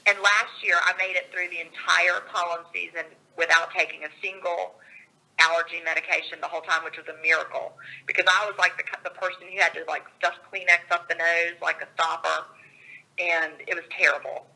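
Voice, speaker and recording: A woman's voice: lowish voice, flat delivery, a little fast, vocal fry, pushed and bright. A woman from Georgia, a guest author talking over a call, upbeat and laughing often, her voice a little scratchy from a cold. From Georgia, USA.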